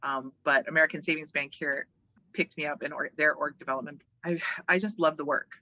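A woman from Hawaii speaking casually and emphatically as an interview guest, chatty and animated. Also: low voice, brisk, lo-fi sound. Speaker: interview guest, organizational development worker and voiceover artist.

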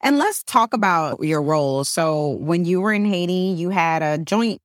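Woman host, low voice, encouraging, dry sound. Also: expressive, moderate pace, no echo. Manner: encouraging, prompting with short affirmations. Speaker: podcast host. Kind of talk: interview questions.

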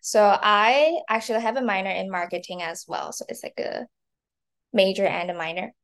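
A woman's voice: medium pitch, moderate pace, a bit bright. A woman in her early twenties from Taiwan and China, chatting through casual interview answers and often saying "like". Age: early 20s. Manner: chatty, frequent "like". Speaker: interview guest, marketing intern and university student.

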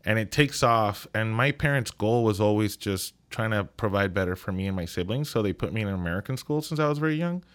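Guy in his 30s, kinda low voice, chatting as a guest, animated, lots of um and like. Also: fairly quick, few pauses, clear, resonant tone. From Puerto Rico. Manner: animated storyteller, lots of fillers and restarts. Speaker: guest cinematographer. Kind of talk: casual interview chat.

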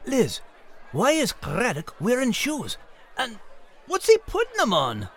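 A man voicing an excitable, literal-minded animal party host with a folksy Irish accent in a comic audio drama. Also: very high-pitched, takes it slowly, husky, full character voice. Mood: puzzled, chatty.

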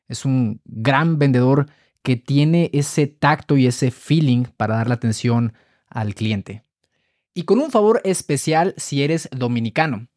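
A man hosting a health podcast, reading scripted narration in a measured, explanatory way and listing items one by one. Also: medium pitch, a little mellow, dry recording, studio quality. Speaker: podcast host.

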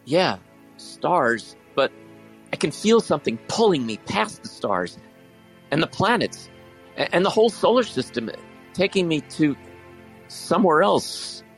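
Man acting an animated, imaginative high school boy. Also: unhurried, vocal fry, long pauses, faint music behind, heavily acted. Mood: wondering. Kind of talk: audio drama acting.

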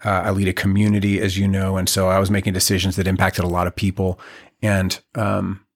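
A man in his forties, a guest on a podcast, talking in a reflective, halting way with many restarts. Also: low voice, completely flat delivery. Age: 40s. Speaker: podcast guest, community leader. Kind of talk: casual podcast conversation.

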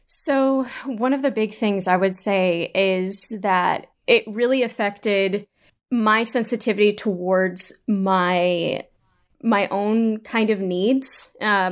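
A woman answering as an interview guest, choosing her words carefully and haltingly. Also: medium pitch. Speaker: interview guest, audio drama creator. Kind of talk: conversational interview.

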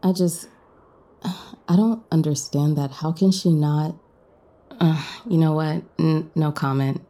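A woman's voice: sits low, steady tone, slow, long pauses, dark tone, heavily acted. A woman acting as a friendly, teasing diner owner in a romance audio drama, with a casual, bantering manner. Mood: teasing, encouraging. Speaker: guest voice actor in an audio romance.

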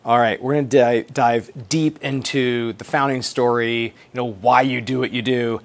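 A male podcast host with a mid-range voice. He asks his questions eagerly and keeps the talk moving with quick follow-ups. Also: medium pitch, steady tone. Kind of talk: interview hosting.

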